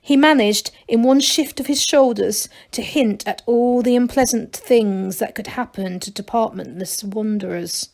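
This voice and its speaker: A woman reading a children's story aloud like a teacher, in expressive narration. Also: fairly high pitch, measured pace, gravelly, few pauses.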